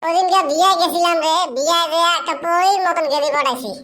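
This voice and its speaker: Man voicing a squeaky bright mocking village lad. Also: fairly quick. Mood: boastful. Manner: teasing, nagging. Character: brash, mocking young male village friend. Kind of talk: comedy cartoon dialogue. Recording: clear background noise.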